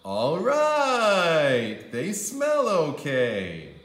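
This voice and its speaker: A man voicing a picky little boy in a storybook, exclaiming and drawing out his words deliberately. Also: on the high side, huge pitch swings, a bit bright, reverberant, pitched above the performer's own voice, full character voice. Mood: grudging approval.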